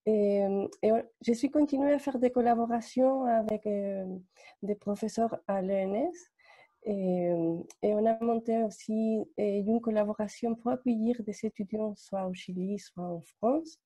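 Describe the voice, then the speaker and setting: Woman lecturer from Chile, husky and dark, dry sound, hesitant. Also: mid-range voice, fairly even intonation, dry recording. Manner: halting, many fillers and restarts. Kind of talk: short testimonial.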